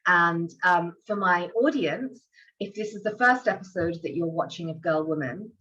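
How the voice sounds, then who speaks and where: A woman's voice: on the low side, animated, moderate pace, pushed and bright, highs cut off. A woman hosting an interview show, carefully laying out how her episodes work. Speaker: podcast host.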